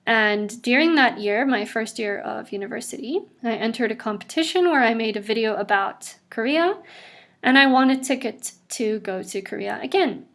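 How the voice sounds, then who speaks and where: A woman in her twenties tells her own story in a casual, upbeat way, with asides as she goes. Her accent is a blend of international English. Her voice sits a little above the usual pitch for a woman. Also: animated, moderate pace, fairly bright tone. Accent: mixed international English. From South Africa. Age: 20s. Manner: chatty and upbeat, with frequent laughs and asides. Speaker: vlogger. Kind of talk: casual personal narration over drawings.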